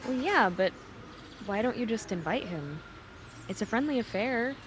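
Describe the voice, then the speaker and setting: A woman's voice: a little high, lively intonation, moderate pace, noisy background, lightly acted. An actress playing an inquisitive, teasing friend who presses a woman about her family. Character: curious, friendly young woman, nosy friend. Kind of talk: audio drama acting.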